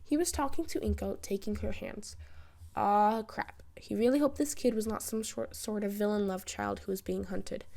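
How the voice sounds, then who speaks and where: A woman reading fan fiction as a narrator, in an expressive, wry storytelling style. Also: mid-range voice, moderate pace, creaky. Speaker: podfic narrator.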